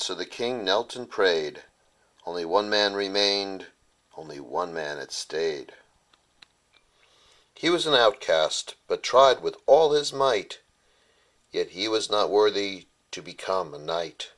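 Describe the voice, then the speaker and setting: A man reads a children's storybook as its author. His voice sits on the low side. The delivery is rhymed bedtime storytelling. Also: animated, slow pace, a bit bright. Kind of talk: rhyming bedtime storybook narration.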